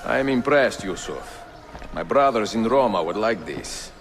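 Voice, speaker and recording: An actor playing an older, courteous and wry Italian master assassin in a video game, with an Italian accent and a measured, formal delivery. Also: male voice, lowish voice, expressive, noisy background. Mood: thoughtful. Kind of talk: video game cutscene acting.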